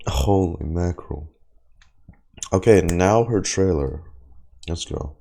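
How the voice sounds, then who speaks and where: A man's voice: low-pitched, slow pace, vocal fry, dark tone. A man in his twenties giving casual streamer reactions to a game, rambling and slangy with some swearing. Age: 20s.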